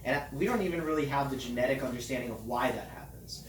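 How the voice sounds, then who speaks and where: Man, doctor, calm plain explaining, distant mic, roomy echo, faint noise. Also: medium pitch, fast talker. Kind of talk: casual explanatory chat. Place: hot room under studio lights.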